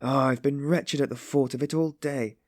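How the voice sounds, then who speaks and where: A man's voice: mid-range voice, moderate pace, darkish tone, no echo, clean studio sound, pitched above the performer's own voice, natural voice. A man voicing an anguished, meek but passionately compassionate young woman, pleading in breathless exclamations and repetitions for an audiobook story.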